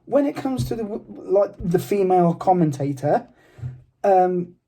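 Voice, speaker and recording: A man co-hosting a casual football chat, chatty and playful, with a voice he calls high-pitched. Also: animated, a little slow, dark tone. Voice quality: says he has a high-pitched voice. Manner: chatty, playful, argues back easily. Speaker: podcast co-host.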